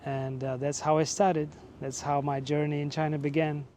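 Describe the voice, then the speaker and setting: A man in his forties from Russia, speaking English, telling his own story in a relaxed, measured way with fillers. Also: fairly high pitch. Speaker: vlogger and teacher. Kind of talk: casual personal storytelling.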